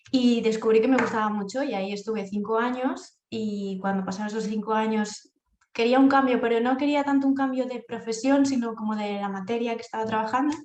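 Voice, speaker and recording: A woman's voice: a little high, a little mellow, echoey room, lo-fi sound. A woman with an accent from Spain, giving conversational answers as an interview guest. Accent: Spanish from Spain. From Castilla-La Mancha, Spain. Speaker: interview guest, freelance project manager. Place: indoors at home, echoey room.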